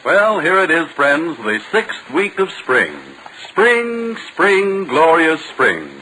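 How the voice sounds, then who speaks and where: A man's voice: on the high side, sing-song, takes it slowly. A man working as a 1940s radio announcer, reading scripted copy with polished, theatrical salesmanship. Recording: hissy vintage 1940s radio recording.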